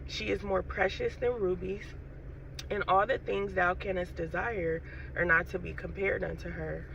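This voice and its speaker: A woman with a scratchy throat and a stuffy nose gives an unhurried, casual spiritual message to her viewers, often saying "you know" and "um". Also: on the low side, fairly even intonation, gravelly, a bit bright. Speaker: faith vlogger. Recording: dull, distant lo-fi recording with faint noise.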